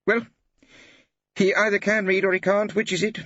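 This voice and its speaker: A man voicing a testy, impatient male English judge who questions in a sharp, peremptory way. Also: sits high, level tone, moderate pace, no echo, muffled recording, voice pushed up.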